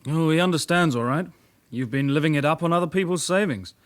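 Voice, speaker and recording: A man's voice: on the high side, a little fast, lightly acted. An actor playing an earnest, naive young male detective constable in a radio drama. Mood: firm. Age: young adult. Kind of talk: naturalistic radio drama acting.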